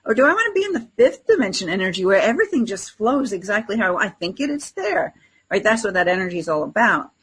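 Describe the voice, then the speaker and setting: Husky-voiced woman in casual spiritual conversation. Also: medium pitch, sing-song, fast talker, fairly bright tone. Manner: reflective, informal, often acts out inner self-talk in quotes. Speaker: spiritual talk show co-host and teacher.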